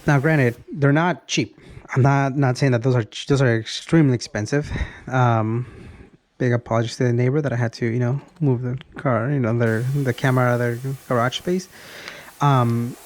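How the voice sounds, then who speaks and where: A man's voice: medium pitch, fairly even intonation, normal speed. A man in his early thirties talking in a casual, rambling vlog style, often repeating and restarting his phrases. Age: early 30s. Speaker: car vlogger.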